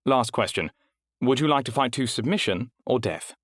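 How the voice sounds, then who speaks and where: A man voicing a polished female fight registrar with a smooth accent, asking brisk formal questions. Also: medium pitch, expressive, a bit bright, no room sound, studio quality, voice pushed up. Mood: explaining.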